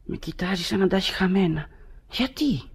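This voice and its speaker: An actor playing a naive, chatty servant go-between in a radio comedy, husky and secretive, with halting speech. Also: male voice, high voice, animated, heavily acted. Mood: furtive, nervous. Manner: halting, secretive, chuckling simpleton. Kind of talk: Greek radio theatre acting of classic comedy.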